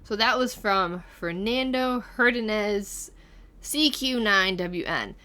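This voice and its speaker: A woman's voice: mid-range voice, animated, takes it slowly, bright, forceful tone. A middle-aged woman hosting casually, reading comments aloud and musing over them in a conversational way. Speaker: guest host of a gaming channel reading viewer comments.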